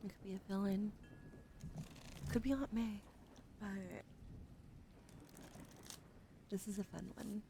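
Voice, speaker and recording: Gravelly whispering woman, noisy mic. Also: mid-range voice, fairly even intonation, slow pace, long pauses. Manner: soft whispering, gentle and encouraging. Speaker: livestream host. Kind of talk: ASMR card reading.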